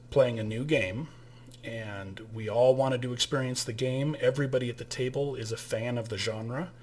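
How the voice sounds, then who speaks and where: A man who ran the game, casually explaining a tabletop game's rules and holding up items as he talks, with some restarts along the way. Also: mid-range voice. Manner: relaxed, with fillers and restarts, showing items to camera.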